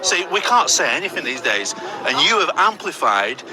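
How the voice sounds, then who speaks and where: A man's voice: a little high, big pitch swings, normal speed, a crowd in the background. A man with a Lancashire accent filming the police, asking provocative, mocking questions. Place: city street outdoors. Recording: noisy street with traffic.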